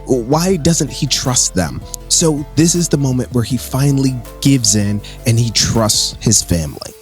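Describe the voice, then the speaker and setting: A man's voice: medium pitch, measured pace. A man hosting a comics recap, retelling the story in a casual, conversational, enthusiastic fan commentary. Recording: voice with music underneath. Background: music.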